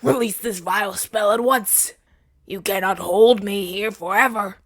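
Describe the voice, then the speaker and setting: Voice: medium pitch, unhurried, fairly bright tone, no room sound, studio quality. A woman playing a flustered, self-righteous hero in a scripted ASMR audio drama, with a theatrical, stammering delivery. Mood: solemn, defiant. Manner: stammering, indignant, dramatic delivery with breathy whispers.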